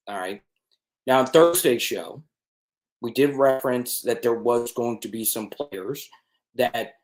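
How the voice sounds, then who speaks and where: A man hosting a college football live stream, talking straight to viewers and often checking in with "okay?". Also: lowish voice. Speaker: sports channel host. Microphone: desk mic with changed settings.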